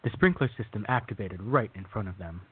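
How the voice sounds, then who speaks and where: A man's voice: on the low side, brisk, noisy background, close to an everyday voice. A man narrating a radio play as its storyteller, reading from a script on a thin recording. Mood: measured storytelling. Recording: thin, phone-like audio.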